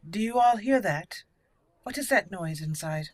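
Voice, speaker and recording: A woman's voice: mid-range voice, lively intonation, unhurried, dry recording. A woman hosting a roleplay game playthrough, mixing commentary with theatrical, haughty in-character lines. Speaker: gaming host.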